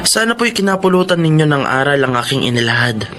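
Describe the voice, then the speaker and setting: A man's voice: fairly high pitch, very animated, fast. A man playing a remorseful young father in a radio drama, pleading and earnest, with music under the voice. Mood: reflective. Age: young adult. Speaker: narrator and lead in radio drama.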